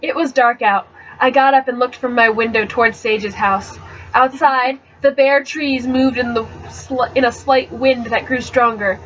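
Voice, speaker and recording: A woman giving an expressive, theatrical amateur reading of a first-person novel, with the odd stumble, on a webcam mic. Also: fairly high pitch, measured pace. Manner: expressive and theatrical, stumbles over words now and then. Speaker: amateur book reader. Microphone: webcam microphone. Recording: dull, slightly noisy webcam audio.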